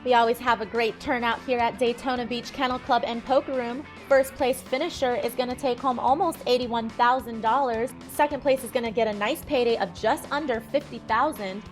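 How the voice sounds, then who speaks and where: Female sports commentator, raised pitch, broadcast poker analysis, faint music bed. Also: steady tone, brisk, few pauses.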